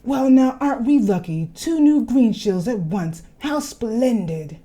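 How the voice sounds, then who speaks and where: A woman voicing a polite male forest guardian from a game, speaking loudly and courteously. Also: a little high, expressive, unhurried. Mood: courtly.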